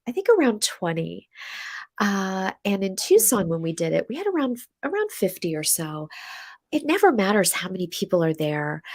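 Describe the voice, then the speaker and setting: An American woman speaks with a congested, stuffy nose from a cold. Her voice sits a little low for a woman. She chats loosely as an interview guest, with many fillers and restarts. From USA. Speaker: podcast guest, coach. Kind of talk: casual interview storytelling.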